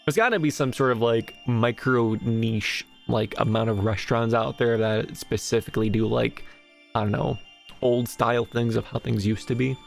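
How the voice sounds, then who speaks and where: A man with a gravelly, fry-touched voice hosts a loose, casual chat, laughing easily as he asks his questions. He sounds like he's on a home microphone, with faint music underneath. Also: mid-range voice, steady tone, moderate pace. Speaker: interviewer.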